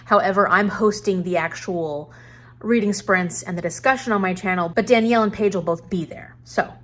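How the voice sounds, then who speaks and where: A woman's voice: mid-range voice, moderate pace. A woman speaking casually and enthusiastically to viewers as the host of a book channel, making an announcement. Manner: enthusiastic, chatty.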